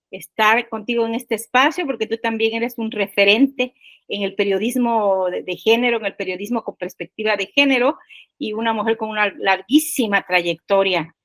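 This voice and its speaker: An older woman, telling personal stories casually and haltingly, repeating words and seeking agreement. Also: fairly high pitch, fairly bright tone, no echo. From Guerrero, Mexico. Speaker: podcast guest, poet.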